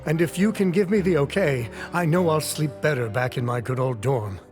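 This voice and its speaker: A man's voice: a little high, moderate pace, natural voice. A man voicing a nervous, apologetic young inmate who talks hesitantly with small stammers in a game cutscene. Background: game soundtrack music.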